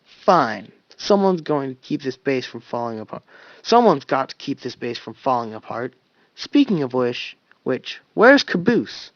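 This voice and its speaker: Man reading a sharp, raised, irritated soldier, animated pitch, dry lo-fi sound. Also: measured pace, no room sound. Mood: annoyed. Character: exasperated male special-ops soldier, tense, short-tempered.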